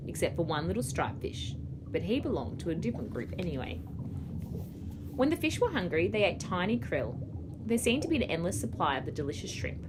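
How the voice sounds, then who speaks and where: An Australian woman reads a children's storybook aloud like a primary school teacher. Her voice sits a little low but swings expressively up and down. There is clear noise in the background.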